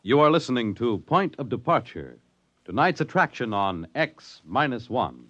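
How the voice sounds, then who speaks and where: A man's voice: mid-range voice, very animated, normal speed, fairly bright tone, highs cut off. A man announcing a radio drama in scripted 1950s style.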